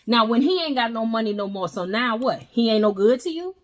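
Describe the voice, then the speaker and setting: A woman's voice: a little high, expressive, brisk. Woman cohost, earnest and emphatic, giving marriage advice in easy casual talk. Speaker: co-host. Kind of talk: casual chat about relationships.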